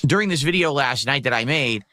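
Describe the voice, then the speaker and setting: A man's voice: medium pitch, lively intonation, brisk, pushed and bright, no echo, clean studio sound. A man, a game streamer, talking to his viewers in a wordy, over-explaining monologue.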